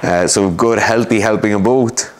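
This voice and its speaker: An Irish man in his thirties casually explaining a recipe in a home kitchen, relaxed and chatty, recorded by a camera a few feet away. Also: lowish voice, moderate pace, background noise. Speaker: cook demonstrating a recipe. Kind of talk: casual cooking demo chat.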